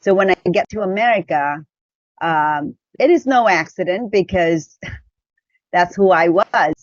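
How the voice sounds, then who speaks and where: A woman's voice: on the low side, expressive, a little slow, no echo, highs cut off. A middle-aged woman from Vietnam with a Chinese-Vietnamese accent, a podcast guest telling her story in a talkative, self-correcting way. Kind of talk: personal storytelling in an interview.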